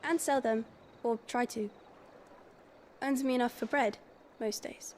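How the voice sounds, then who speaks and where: A woman's voice: fairly high pitch, fairly even intonation, unhurried, darkish tone, slight background noise, close to an everyday voice. A woman acting a kind, modest young village healer in a fantasy video game, plain-spoken and earnest. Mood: gentle, hopeful.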